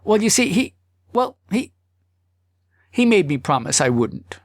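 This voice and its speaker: Guy doing a flustered husband for an audiobook, hems and haws, high wobbly pitch, dry sound. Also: sing-song, measured pace, dry recording, exaggerated voice. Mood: flustered, evasive. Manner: hemming and hawing, stammering. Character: flustered, evasive husband caught hedging to his wife. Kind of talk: audiobook character acting.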